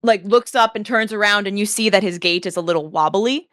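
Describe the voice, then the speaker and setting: A woman's voice: mid-range voice, brisk, a bit bright, no echo, studio quality. A woman narrating a tabletop role-playing game as game master, casual and chatty with fillers.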